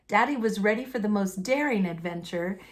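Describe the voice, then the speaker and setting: A woman reading a children's picture book aloud in an animated, folksy storytelling manner. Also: medium pitch, fairly quick. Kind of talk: expressive read-aloud narration of a children's book.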